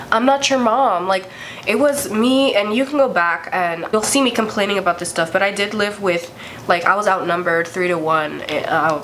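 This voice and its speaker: A woman's voice: medium pitch, fairly bright tone, a little hiss or hum. A young woman vlogger with a congested, stuffy voice, chatting candidly and swearing freely as she tells a story. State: congested from a cold. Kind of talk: casual storytime vlog.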